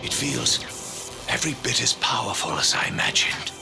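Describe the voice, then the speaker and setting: Pompous zealot archangel, gravelly, lofty slow talk, game cutscene, loud music. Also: male voice, medium pitch. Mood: imperious fervor. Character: imperious zealous male archangel, grandiose and self-assured. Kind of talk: video game cinematic acting.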